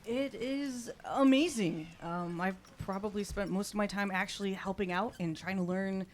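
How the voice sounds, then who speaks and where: A woman's voice: mid-range voice, normal speed, slight background noise. A woman playing a tabletop game, chatting casually in character.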